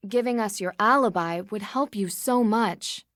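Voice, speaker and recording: A woman playing a reasonable young female detective in a video game, asking questions in a clear, measured, earnest way. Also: medium pitch, fairly bright tone, no room sound, clean studio sound, lightly acted. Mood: sympathetic.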